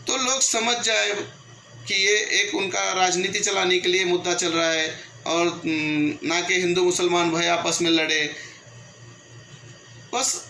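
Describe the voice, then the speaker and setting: A man with a high-pitched, very piercing, forceful voice delivers political opinion to his channel's viewers. He argues emphatically and repeats his points. The room is clearly echoey. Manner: emphatic, repetitive, argumentative. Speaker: channel host and political commentator. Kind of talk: opinion commentary addressed to viewers. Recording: echoey room with background noise.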